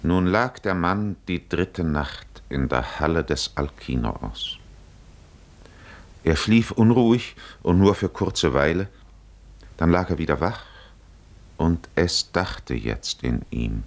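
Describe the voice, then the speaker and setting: Slow, very low-voiced solemn male narrator. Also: sing-song. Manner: solemn, measured. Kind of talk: scripted literary narration, 1950s radio drama.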